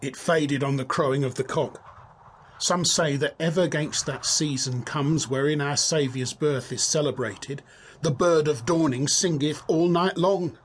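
An actor playing an earnest, devout soldier on night watch, speaking formal verse in a dramatised Shakespearean audiobook. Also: male voice, a little high, unhurried, a little hiss or hum, natural voice. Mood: reverent, hushed.

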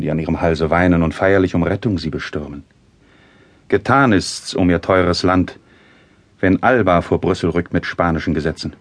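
An actor playing a noble, idealistic young envoy and loyal friend in a classical stage drama, earnest and oratorical. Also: male voice, deep voice, dry recording.

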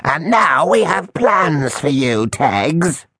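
A man voicing a mean little evil dinosaur inventor, hissing and raspy, sneering with drawn-out menace in a children's storybook. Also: a little high, very animated, fairly bright tone, no echo, clean studio sound, voice pushed up, exaggerated voice. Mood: vengeful menace.

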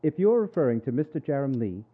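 An actor playing a proper, inquisitive Edwardian gentleman, polite and formal, in a radio drama. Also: medium pitch, big pitch swings, fast talker, slightly rough, narrow, tinny audio. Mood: flustered, proper. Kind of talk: radio drama acting and narration.

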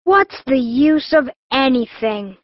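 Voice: high voice, expressive, slow pace, no room sound, muffled recording. A performer playing a gloomy young male pig in a children's audiobook, theatrical and mournfully complaining. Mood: dreary, despondent. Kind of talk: full-cast children's audiobook acting.

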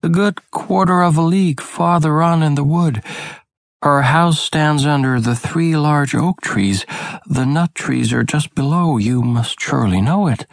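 A man's voice: fairly high pitch, unhurried, gravelly, no room sound, pitched above the performer's own voice. A man voicing a sweet, polite, trusting little girl in a storybook, in an innocent manner. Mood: helpful.

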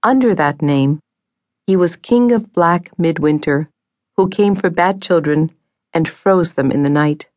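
A woman narrating a fantasy audiobook in a measured storytelling manner, on a studio mic. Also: on the low side, a little mellow, dry recording.

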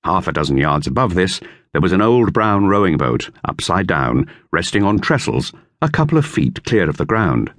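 A man reading a children's novel as an expressive audiobook narrator. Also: low voice, normal speed, dry recording, clean studio sound.

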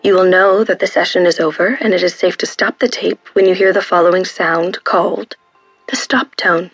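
A woman's voice: fairly low pitch, steady tone, normal speed, close to an everyday voice, low-quality recording. A woman playing an impersonal, authoritative announcer who gives formal, matter-of-fact instructions on a treatment tape. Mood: impersonal, procedural. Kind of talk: scripted instructional announcement in an audio drama. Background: faint music.

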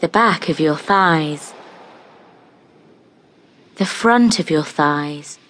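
Female guided relaxation narrator: lowish voice, very slow delivery, calm and soothing, hypnotic scripted read with roomy pauses between phrases. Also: slight background noise.

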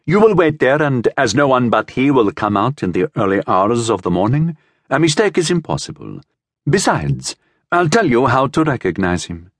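A man voicing an impatient, insinuating gentleman schemer in an audiobook adventure tale. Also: medium pitch, sing-song, normal speed, no room sound, studio quality, voice pushed up. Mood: smooth, businesslike. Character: smooth impatient cloaked gentleman hiring a killer.

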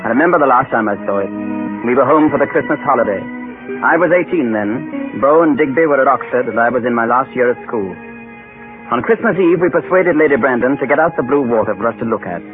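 A man's voice: medium pitch, animated, faint music behind, natural voice. A man playing a grave Englishman who solemnly recounts his childhood as narrator of a 1930s radio drama. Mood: reminiscent. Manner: measured, solemn storytelling. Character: grave adult Englishman, sole surviving brother, recalling his childhood. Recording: tinny 1930s radio broadcast.

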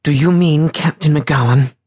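Man acting proud female freebooter captain, raised pitch, clipped and sardonic, slightly husky, audiobook character. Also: unhurried, a little mellow. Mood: haughty, challenging. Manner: lofty, clipped. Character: proud woman freebooter captain, upper-class, sardonic, defiant.

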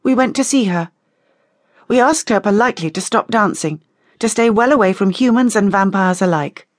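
Lady reader doing a bitter lady vampire in an audiobook, raised pitch, indignant and accusing. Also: moderate pace, dry recording, clean studio sound, close to an everyday voice. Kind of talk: audiobook character dialogue.

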